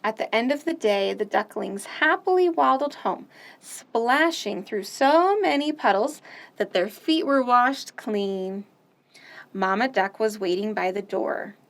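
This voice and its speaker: Woman storytime host reading picture books to kids, slow and sing-song, slightly high voice. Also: animated. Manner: gentle, slow, sing-song, asks children questions.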